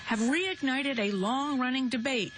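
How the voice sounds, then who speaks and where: A woman correspondent narrating a scripted news report in an even, formal delivery. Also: high voice, unhurried, noisy background.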